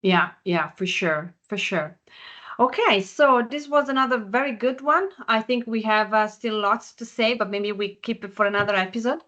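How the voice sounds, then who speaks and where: A woman hosting a podcast in a conversational, agreeable way, speaking haltingly as she puts her thoughts together. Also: on the high side, a bit bright. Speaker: podcast host.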